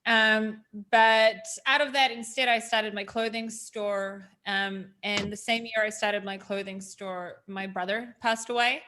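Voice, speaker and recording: A woman's voice: mid-range voice, steady tone, measured pace, hardly any pauses, sharp tone. A woman, chatting casually as an interview guest with frequent ums. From New Zealand.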